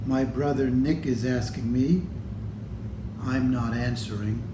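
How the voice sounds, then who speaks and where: A man reading a children's storybook aloud in a deliberate, expressive way, addressing young listeners. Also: medium pitch. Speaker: storybook reader. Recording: echoey room with clear background noise.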